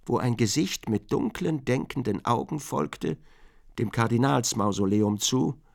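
A man narrates a literary radio drama. His medium-pitched voice has a strong creak, and his reading is measured and precise.

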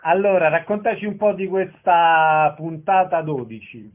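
A man's voice: a little high, a little slow. A man hosting a conversational radio interview and putting questions to his guests. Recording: narrow, phone-like radio sound.

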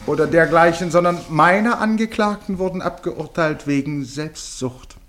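A man's voice: on the high side, normal speed, a bit bright, natural voice. An older man acting in a German radio play as an eccentric, genial club chairman and former judge, speaking formally and grandly. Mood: proud oratory. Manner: grandiloquent, precise, self-amused.

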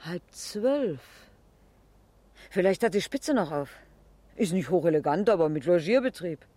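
An actress playing a talkative, brisk small-town station attendant in a colloquial 1970s German radio drama. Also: female voice, fairly low pitch, expressive, warm tone, no room sound. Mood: concerned, bossy. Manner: chatty, folksy, blunt.

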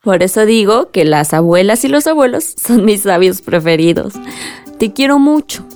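An actress playing an eager, affectionate young village granddaughter in a radio drama, with a Mexican accent. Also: female voice, medium pitch, expressive, normal speed, a little mellow, faint music behind, lightly acted. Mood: warm, grateful. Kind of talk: Spanish-language radio drama acting.

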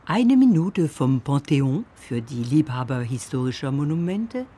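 A woman narrating a scripted radio feature in an expressive, storytelling manner. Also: a bass voice, moderate pace, husky.

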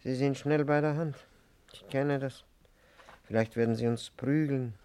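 An actor playing a gentle, fatalistic older man in hiding in a 1950s German radio play, quiet, pensive and plain-spoken, with a creaky voice. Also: medium pitch, fairly even intonation, measured pace, natural voice. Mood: grim, fatalistic.

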